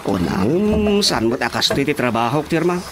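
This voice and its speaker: An actor playing an apologetic, deferential village carpenter with an Ilocano accent in a radio drama comedy. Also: male voice, mid-range voice, big pitch swings, normal speed, crowd noise, lightly acted.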